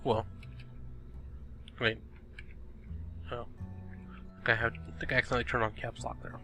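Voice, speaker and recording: A young man talks through a game in a loose, chatty way, thinking aloud and blurting out exclamations. He sounds a little sniffly, and his voice is bright and forceful. Faint music plays behind him. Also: medium pitch, little pitch movement, moderate pace, vocal fry. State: sniffly, recovering from illness. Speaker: gaming video host. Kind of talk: casual gameplay commentary. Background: background music.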